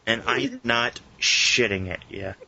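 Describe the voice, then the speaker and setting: A man's voice: mid-range voice, takes it slowly, vocal fry, noisy background. A man co-hosting a podcast, chatting casually with the others in the group. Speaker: podcast co-host. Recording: muffled lo-fi internet podcast audio.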